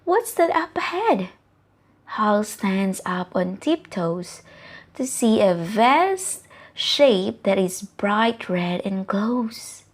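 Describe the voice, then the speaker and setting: A woman from the Philippines reading a picture book aloud to children in a deliberate, questioning storytelling manner, with asides to the listeners. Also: medium pitch, sing-song.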